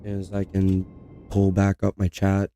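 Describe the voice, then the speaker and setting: Low, dark-voiced man losing his voice. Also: completely flat delivery, unhurried. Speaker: game streamer. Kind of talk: casual live-stream chat.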